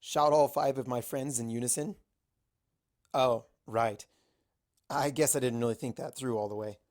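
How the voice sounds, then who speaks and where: A woman's voice: rumbling low, fairly even intonation, moderate pace, a little mellow. A woman reading a first-person story aloud as an amateur narrator. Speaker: amateur fan fiction narrator.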